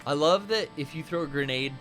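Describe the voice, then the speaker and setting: A man's voice: a little high, a little fast, soft background music. A man co-hosting a livestream, chatting casually and trash-talking the enemies in the game he plays. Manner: animated, swearing, trash-talks game enemies. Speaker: co-host playing a video game. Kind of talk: casual livestream chat and gameplay.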